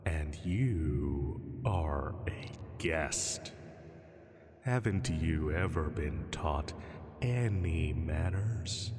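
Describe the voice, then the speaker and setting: Man voicing a deep, slow, cavernous dragon. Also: a little mellow, exaggerated voice. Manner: slow, deliberate, taunting, mock-pitying. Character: ancient menacing male dragon, smug, teasing, predatory. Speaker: audio roleplay performer. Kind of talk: slow, intimate scripted roleplay monologue. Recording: cavernous long reverb.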